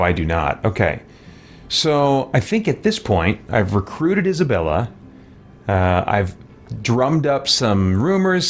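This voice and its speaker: A man's voice: medium pitch, slight background noise. Rambling American man hosting a game stream. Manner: rambling, self-correcting, thinking aloud. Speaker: live streamer, gaming host. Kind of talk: casual livestream chat.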